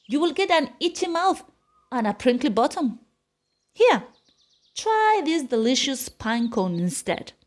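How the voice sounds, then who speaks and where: Woman voicing a high, plucky storybook girl. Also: big pitch swings, a little slow. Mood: polite, persuasive. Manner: polite but firm, persuasive. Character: plucky, quick-thinking girl standing up to a monster. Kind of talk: picture-book character voice.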